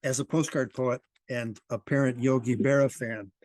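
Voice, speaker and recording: A man leads a conversational interview with a jokey, encouraging touch. His voice sits at a middle pitch and is recorded dry, with little room around it. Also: fairly even intonation, normal speed, a little mellow, no room sound.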